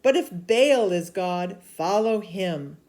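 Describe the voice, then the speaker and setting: A woman voicing a bold, commanding male prophet in a children's storybook, with loud, emphatic delivery. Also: fairly low pitch, lively intonation, slow.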